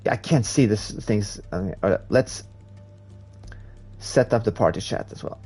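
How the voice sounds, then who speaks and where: A man's voice: on the low side, a little slow, dark tone, breathy edge, faint music behind. A man from Sweden, English not his first language, chatting casually to viewers and reading comments while he races on a live stream. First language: Swedish. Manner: chatty, laughs at himself, reads chat aloud.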